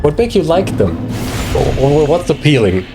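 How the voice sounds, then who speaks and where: A man from Austria whose first language is German, hosting a casual online interview. He talks haltingly, laughs often, and has a cough. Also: fairly high pitch, sing-song, gravelly, over music. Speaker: interviewer and channel host.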